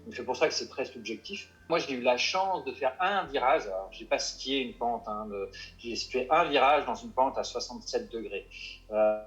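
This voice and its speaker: A mountain guide answering interview questions, hesitant and full of restarts and fillers. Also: male voice, medium pitch, expressive, moderate pace, fairly bright tone, muffled recording, faint music behind. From Paris suburbs.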